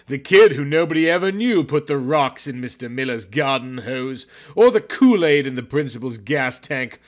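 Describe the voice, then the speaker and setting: A man narrating a story in a theatrical, brooding, overwrought style, as if every line were high drama.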